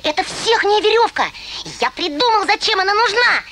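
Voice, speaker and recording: A woman voicing an excitable young animal in an animated film, with a childlike, exclamatory delivery. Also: very high-pitched, normal speed, a little hiss or hum, full character voice. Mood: squabbling, eager. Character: excitable, squabbling young cartoon critters. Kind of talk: animated film character acting.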